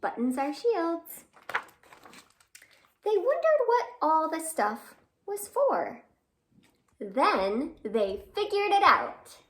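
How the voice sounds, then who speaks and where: A woman leads a storytime for young children in a high, very lively voice. She takes her time, reading expressively and putting questions and exclamations to her listeners. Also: very slow, long pauses, slight background noise. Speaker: children's storytime host at a library. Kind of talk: animated read-aloud for young children with sung greeting.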